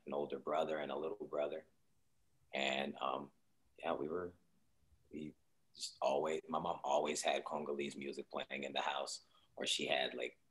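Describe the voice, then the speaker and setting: A young man from Seattle talks over a video call. His voice sits very low, and his delivery is soft-spoken and reflective, full of small fillers. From Seattle, Washington. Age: young adult. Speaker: guest artist. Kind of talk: casual interview on a video call. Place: home room, indoors.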